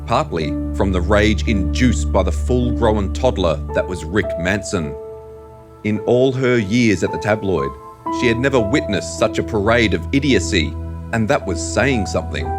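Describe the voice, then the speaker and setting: A man narrating an audio drama in a measured, deliberate, scripted storytelling style. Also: fairly low pitch.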